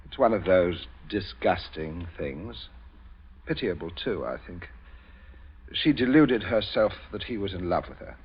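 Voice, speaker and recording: Husky, menacing English gentleman. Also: low voice, expressive, exaggerated voice. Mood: cold, threatening. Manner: measured, polite, hesitant hums, quietly threatening. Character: menacing, coolly calculating English gentleman planning a cover-up. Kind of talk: old-time radio suspense acting. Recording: dull vintage radio recording.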